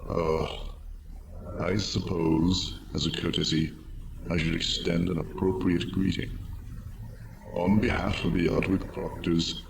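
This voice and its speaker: A dour alien envoy for a video game. He speaks low and slowly with a gravelly fry, grudgingly formal, in an echoey, noisy recording. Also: little pitch movement, exaggerated voice. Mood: dour, weary. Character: gloomy, reluctantly courteous male alien envoy. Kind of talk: video game character acting.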